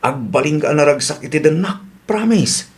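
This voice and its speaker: A man's voice: fairly high pitch, lively intonation, normal speed, lightly acted. A man playing an earnest suitor in an Ilocano radio drama, with a Filipino accent and a coaxing manner. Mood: wooing. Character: eager, promising male suitor wooing a woman.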